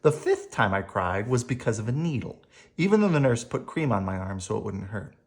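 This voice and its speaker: An adult reading a picture book aloud to children in an expressive storytelling style. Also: mid-range voice, fairly quick.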